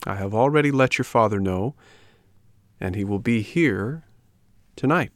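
A man's voice: lowish voice, measured pace, no echo, clean studio sound, natural voice. A man voicing a kindly, reassuring female fairy in a storybook reading. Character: kindly, motherly female fairy, gently cautioning.

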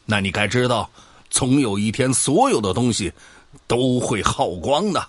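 A man's voice: medium pitch, animated, unhurried, dry recording, clean studio sound. An actor playing an argumentative, pedantic man who scoffs and lectures his old friend in a sci-fi audio drama. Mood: emphatic, lecturing.

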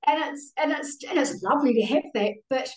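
A woman's voice: high voice, brisk, darkish tone. A middle-aged woman with a New Zealand accent, chatting candidly and casually as a podcast guest in an effusive, colloquial way. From Auckland, New Zealand. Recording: roomy echo, distant from mic.

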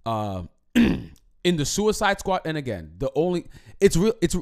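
A man co-hosting a podcast, chatting casually about a movie in a rambling way, with many restarts and a throat that is going hoarse. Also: fairly high pitch, measured pace. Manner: rambling, repeats and restarts, animated. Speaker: podcast co-host. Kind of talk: casual movie review chat.

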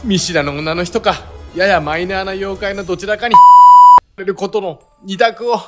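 Man playing an earnest, high-pitched young adventurer. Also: steady tone, moderate pace, over loud music, lightly acted. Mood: grave dread. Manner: earnest, wordy, melodramatic. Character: earnest, panicky young male sightseer fleeing monsters. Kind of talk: comedic radio drama acting.

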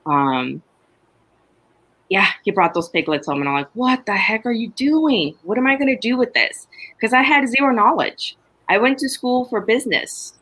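A woman's voice: a little high, moderate pace. A middle-aged woman from Honduras, a farmer chatting casually and telling stories about her farm. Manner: chatty, animated storytelling with laughs. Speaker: farmer, podcast guest. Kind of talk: casual chat.

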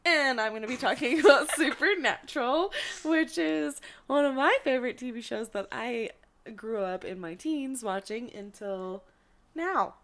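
Tired young woman with a slightly high voice. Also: animated, measured pace, a bit bright. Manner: laughing, playful. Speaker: podcast co-host. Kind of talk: casual chat.

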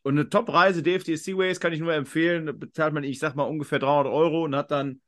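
A man's voice: a little high, fairly even intonation, quick pace, a bit bright. A man hosting a quiz podcast in a casual, talkative and rambling way. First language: German.

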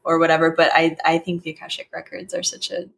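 Co-host: woman, lowish voice, halting and casual. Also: level tone, creaky. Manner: warm-hearted, hesitant, lots of "you know". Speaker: podcast co-host. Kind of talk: casual podcast interview.

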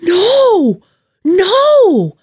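A woman hosting a podcast in a casual chat, with playful and expressive reactions. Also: very high voice, drawn-out words, no echo, clean studio sound. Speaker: podcast host.

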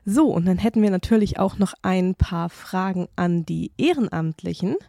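Low-voiced woman interviewer, dry sound. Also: vocal fry, dark tone, no echo. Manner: friendly, measured interview questions. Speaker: podcast co-host and interviewer. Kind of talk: library podcast interview.

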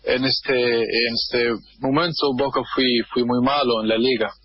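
A man from Kuwait, a guest on a radio interview, speaking hesitantly with many pauses while he searches for words. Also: medium pitch, fairly even intonation, husky, dry recording, highs cut off. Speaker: guest, football fan. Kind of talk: radio interview over a line.

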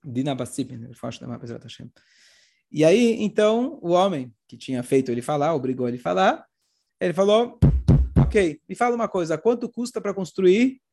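A Brazilian man, hoarse with a cold, telling religious stories informally and acting out the dialogue as he goes. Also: high voice, darkish tone. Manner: acts out dialogue while retelling. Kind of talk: animated informal religious storytelling talk.

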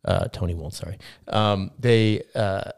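A man's voice: low-pitched, steady tone, unhurried, gravelly, a little mellow, clear, resonant tone, no echo, clean studio sound. A man in his forties chatting casually on a podcast, blunt and joking, with a loose swearing manner. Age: 40s. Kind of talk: casual chat.

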